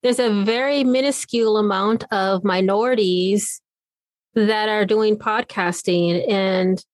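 A woman hosting her own podcast with an earnest, encouraging manner. She stretches out her phrases. Her voice is mid-pitched and recorded dry and close. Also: steady tone, slow, no echo. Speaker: podcast host. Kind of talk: casual interview chat.

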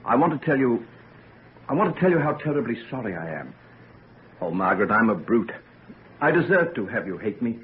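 Man acting an ardent young gentleman, old tinny radio. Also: mid-range voice, sing-song, fairly quick, long pauses, natural voice. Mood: remorseful, pleading. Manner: earnest, pleading, impassioned. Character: ardent young gentleman, remorseful yet sure of his beloved. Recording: noisy, narrow-band vintage radio recording.

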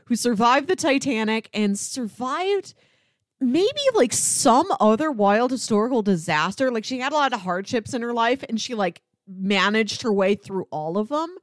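A woman's voice: a little high, lively intonation, moderate pace, dry recording, studio quality. A woman co-hosting a podcast, chatting casually in a conversational discussion style. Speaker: podcast co-host.

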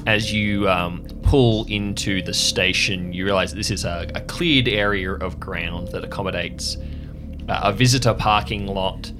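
A man narrating a tabletop game as game master, describing scenes in a measured, suspenseful way. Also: on the low side. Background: background music.

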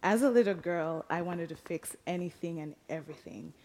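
A young woman with a Ugandan accent giving a reflective, lightly humorous personal talk in measured phrases. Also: fairly low pitch, a little mellow. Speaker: speaker telling personal story. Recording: reverberant hall.

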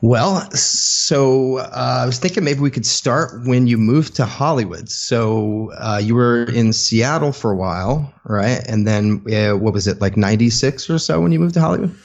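A man's voice: medium pitch, expressive, normal speed, creaky, few pauses, muffled recording. A man on a remote call line, casually asking questions as a podcast guest. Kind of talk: casual conversational interview.

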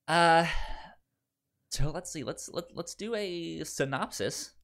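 A man's voice: fairly high pitch, takes it slowly, vocal fry, fairly bright tone. A man co-hosting a podcast in casual chat, often repeating syllables and words as he stammers through a sentence. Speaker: podcast co-host.

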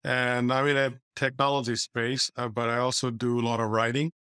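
A man in his fifties, originally from Bangladesh, talks through his backstory in a plain, matter-of-fact way. His voice sounds smooth and resonant, recorded close and dry with no room around it, at a medium pitch. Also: level tone, normal speed, pushed and bright, no room sound. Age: 50s. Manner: plain, matter-of-fact storytelling with small restarts. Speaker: guest, entrepreneur and author. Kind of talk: casual interview answers.

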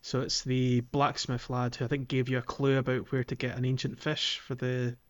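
A man's voice: mid-range voice, completely flat delivery, moderate pace. Easygoing man co-hosting a podcast. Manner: easygoing, agreeable, chuckles, uses fillers. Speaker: podcast co-host. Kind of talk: casual chat about a video game.

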